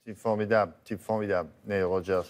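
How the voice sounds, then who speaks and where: A man's voice: fairly low pitch, steady tone, faint music behind. A man presenting a morning TV show, bantering and teasing in the studio. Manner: teasing, quick asides.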